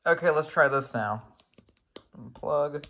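A man's voice: fairly high pitch, unhurried, muffled recording, very noisy. A man giving a casual hands-on tutorial as a video creator, recorded indoors at home on a handheld camera. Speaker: video creator demonstrating radios.

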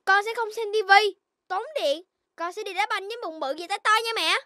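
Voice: extremely high pitch, fairly quick, sharp tone, no echo. An actor voicing an eager, stubborn little puppy boy in a children's cartoon, with an earnest, emphatic delivery. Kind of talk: Vietnamese children's cartoon dubbing.

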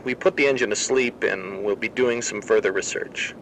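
A man's voice: lowish voice, normal speed, fairly bright tone, slight background noise, poor audio. A man playing a researcher reporting matter-of-factly on experiments in a horror audio log drama. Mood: clinical. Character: male researcher flatly reporting on captured engine experiment. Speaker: narrator of experiment audio logs.

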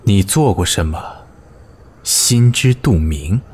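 An actor playing a proud, guarded former general turned steward in a historical audio drama, speaking curtly and formally. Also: male voice, low-pitched, expressive, slow pace, a little mellow. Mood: cold, scornful. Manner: curt, formal.

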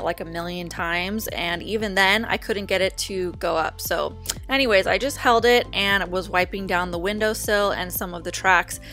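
A young woman narrating her own home video in a chatty, relaxed voice-over, talking straight to her viewers. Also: on the low side. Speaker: vlogger. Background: faint background music.

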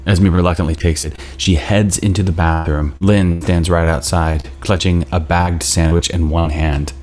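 A man's voice: deep voice, steady tone, moderate pace, a little mellow. A man in his thirties reading screenplay narration aloud at a table read. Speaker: stage directions reader. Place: indoor room at home, video call.

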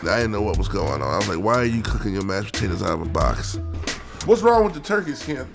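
A comedian cracking rapid, exaggerated jokes in a produced comedy video. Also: male voice, fairly low pitch, very animated, creaky. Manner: joking, exaggerated, rapid punchlines. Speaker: comedian on a reality skit. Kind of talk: comic commentary in a produced video. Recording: played through a video with music behind.

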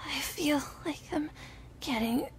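A woman voicing a sick, frightened little boy in a video game, halting, innocent and plaintive. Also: high voice, a little hiss or hum. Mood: frightened, weak.

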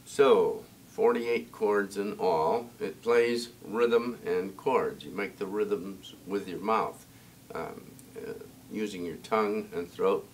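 Slow, low-voiced older man. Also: recorded from a distance, heavy background noise. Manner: slow, dry, matter-of-fact explainer. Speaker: harmonica player. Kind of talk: instrument demonstration. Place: convention room with dark curtains.

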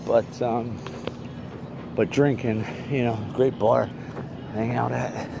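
A man's voice: mid-range voice, fairly even intonation, slow, vocal fry, dull audio. A man in his forties giving a casual, unscripted walk-around vlog tour, rambling and thinking aloud into a handheld phone. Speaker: vlogger reviewing local bars. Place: outdoors in parking lot and around bar. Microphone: handheld phone at arm's length. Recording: noisy handheld phone recording outdoors.

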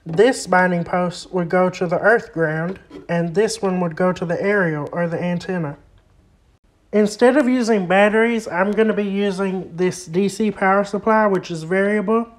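A hobbyist host giving a hands-on repair demonstration, explaining each step in a plain way. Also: medium pitch. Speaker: vintage radio repair hobbyist and video host.

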